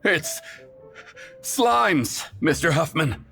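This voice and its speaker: A man's voice: a little high, animated, very slow, vocal fry, sharp tone, soft background music, full character voice. An actor playing a panicky junior knight in a video game, urgent and breathless as he raises the alarm. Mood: panicked.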